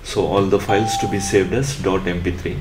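Low-pitched man giving a halting tutorial. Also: steady tone, slight background noise. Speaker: electronics tutorial presenter. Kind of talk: step-by-step tutorial narration.

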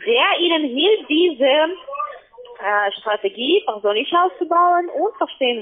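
High, lively woman on phone. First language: not German. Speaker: call centre sales agent. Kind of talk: halting sales pitch. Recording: narrow, tinny phone line.

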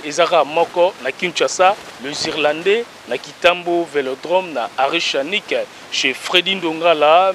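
An energetic man, hosting a talk show from an outdoor garden. His voice sits high and sounds bright and forceful as he reels off greetings and talking points into a handheld mic. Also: slight background noise. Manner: energetic, emphatic, rattles off shout-outs. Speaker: host.

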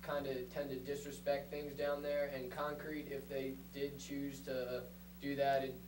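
A man's voice: medium pitch, completely flat delivery, measured pace. Young man, distant and noisy. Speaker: resident presenting a proposal. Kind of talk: informal public presentation. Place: council meeting room, far camera. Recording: distant room mic, loud background noise.

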